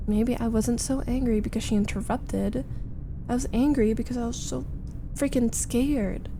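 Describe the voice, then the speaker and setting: A woman playing a frustrated, jealous, needy young roommate venting in an intimate ASMR roleplay, with halting, dramatic delivery. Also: fairly high pitch, background noise. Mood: hurt, rueful. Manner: halting, sighing, dramatic, emphatic complaining. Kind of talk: ASMR roleplay, intimate first-person monologue.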